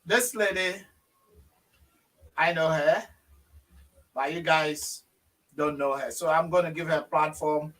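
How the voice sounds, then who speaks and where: Slow Ghanaian man hosting an interview. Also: fairly high pitch, steady tone, distant mic. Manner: warm, slow, hesitant with many fillers. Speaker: host. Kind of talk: casual video interview.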